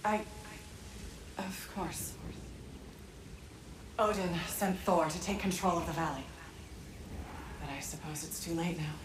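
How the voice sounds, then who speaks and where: An actress playing a dutiful ghost messenger in a video game, earnest and halting, echoing over background noise. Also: female voice, fairly low pitch. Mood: resigned, recounting. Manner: halting, urgent. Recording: echoey, noisy ambient game scene.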